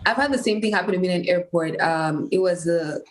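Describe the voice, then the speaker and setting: A woman from Uganda, a panel guest in casual chat, telling stories slowly with a wry edge. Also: on the low side.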